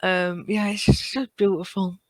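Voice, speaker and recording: A woman speaking as a podcast guest in casual fan discussion, earnest and appreciative, often halting as she finds her words. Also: on the low side, level tone, darkish tone, dry recording.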